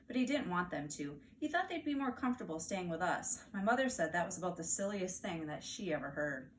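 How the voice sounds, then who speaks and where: American woman reading aloud, distant mic. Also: on the low side. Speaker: teacher reading aloud to first graders. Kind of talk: expressive children's storybook read-aloud. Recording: distant mic, faint background noise.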